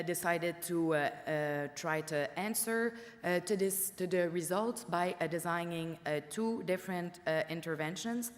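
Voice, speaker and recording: Woman, native French speaker, presenting research at a conference; lowish voice, hesitant with fillers, long reverb of a hall. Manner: frequent "uh" fillers, careful reading of results. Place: conference room. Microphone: room microphone.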